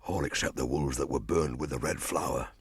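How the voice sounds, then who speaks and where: A man's voice: extremely low pitch, a little fast, breathy edge, no room sound, clean studio sound, exaggerated voice. A man voicing a grave, anxious grey wolf, a loyal elder brother, in a storybook reading. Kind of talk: storybook audiobook acting.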